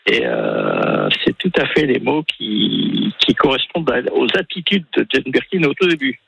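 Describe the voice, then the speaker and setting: Very creaky, halting man calling in. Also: mid-range voice, big pitch swings, fairly bright tone, no echo, rough recording. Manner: hesitant, halting, repeats words, agreeable. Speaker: regular listener calling in to a radio show. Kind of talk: casual chat.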